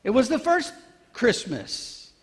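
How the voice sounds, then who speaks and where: Casting: male actor as boastful, excitable ancient carpenter for stage monologue; very animated, emphatic, halting delivery, long hall reverb. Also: very high-pitched, exaggerated voice. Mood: earnest, marveling. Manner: emphatic, halting, repeats phrases, addresses listeners directly. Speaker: monologue performer. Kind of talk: theatrical church monologue.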